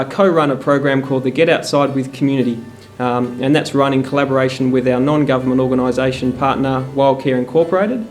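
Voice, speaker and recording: Man explaining a community program. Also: medium pitch. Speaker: parks program officer. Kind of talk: documentary interview narration.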